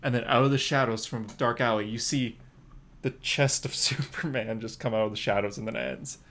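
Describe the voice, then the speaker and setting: A man co-hosting a podcast in casual news chat, with a hesitant, apologetic and halting way of talking. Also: medium pitch, steady tone, a bit bright. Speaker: podcast co-host.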